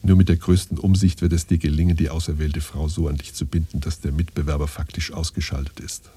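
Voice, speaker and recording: A man's voice: level tone, fast talker, heavily acted. An actor playing a pompous father who solemnly instructs his son in archaic, admonishing phrases in a radio play. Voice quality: very deep, dark, husky. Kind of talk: scripted radio play monologue.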